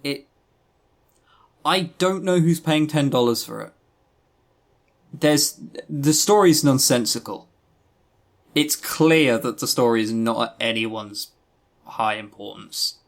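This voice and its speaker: A man's voice: medium pitch, slow pace, vocal fry, long pauses. A man with a mid-range voice co-hosts a casual review podcast. He speaks bluntly, with a dry, joking edge and mild exasperation. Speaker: podcast co-host. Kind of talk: casual review chat about visual novels.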